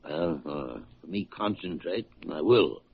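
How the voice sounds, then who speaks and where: An older man playing a crusty, sly old senior doctor in a 1940s radio drama, gravelly and grumbling in a blustering way. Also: extremely low pitch, lively intonation, unhurried, heavily acted. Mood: irritable. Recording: dull vintage radio recording.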